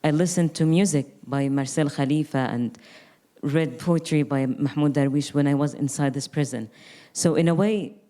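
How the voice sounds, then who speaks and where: Low-voiced young woman, earnest and reflective. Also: normal speed, dark tone. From Gaza Strip. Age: young adult. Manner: reflective, earnest, with frequent restarts. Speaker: artist. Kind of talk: panel conversation.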